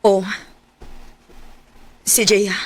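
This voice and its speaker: A woman's voice: medium pitch, level tone, extremely slow, very noisy. A woman acting a blunt, exasperated young girlfriend in a radio drama, with a Cebuano Filipino accent. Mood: regretful, confessing. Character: young woman Sarah, blunt, irritated girlfriend confessing the truth.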